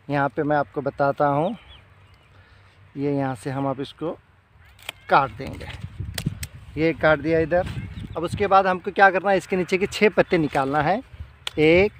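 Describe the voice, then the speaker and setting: A middle-aged man gives an informal, step-by-step farming demonstration, repeating himself as he shows each step. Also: a little high. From India. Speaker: fruit farmer presenting a tutorial. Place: outdoors in an open farm field. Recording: clear outdoor background noise.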